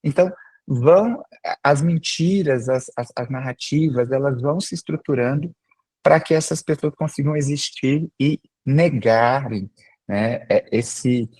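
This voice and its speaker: A man leading a reflective group discussion on a video call, speaking in a thoughtful, halting way. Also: medium pitch, a little mellow, dry recording. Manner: thoughtful, halting, with repeated words. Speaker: group facilitator.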